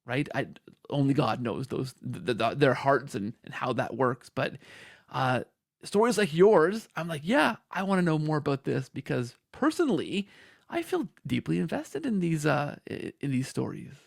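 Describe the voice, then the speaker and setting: Guy podcast host, kinda high gravelly voice, chatty rambling storyteller, really dry close sound. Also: very animated, moderate pace, no room sound. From Canada. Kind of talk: conversational interview.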